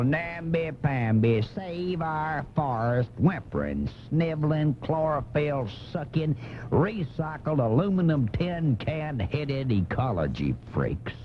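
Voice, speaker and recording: A man's voice: mid-range voice, slight background noise. A man voicing an angry, blustering American redneck commentator in a folksy, indignant comic radio rant. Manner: folksy, indignant, drawn-out insults. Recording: muffled off-air cassette, faint music.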